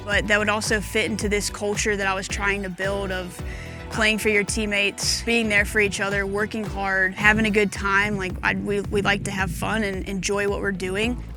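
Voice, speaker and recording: A woman who is a head college golf coach, giving a relaxed, conversational interview with a few casual fillers. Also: medium pitch, normal speed. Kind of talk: documentary interview. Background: music.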